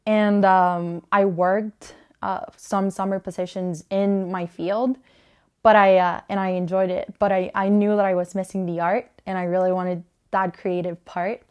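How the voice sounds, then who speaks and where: Clear, smooth-voiced young woman from Honduras. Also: mid-range voice, flat delivery, measured pace, a little mellow. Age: 20s. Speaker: small clothing business owner, interviewee. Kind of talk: casual interview monologue about own work.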